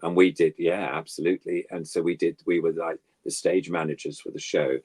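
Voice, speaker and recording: A man's voice: sits low, little pitch movement, fairly quick, a little mellow, husky, no echo. An older man chatting casually as a guest, reminiscing in an effusive, rambling way. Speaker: guest puppeteer.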